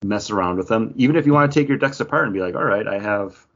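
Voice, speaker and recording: A man with a husky voice explaining things informally as a podcast co-host in casual chat. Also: fairly low pitch, quick pace, a bit bright, lo-fi sound. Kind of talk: casual chat about games and comics.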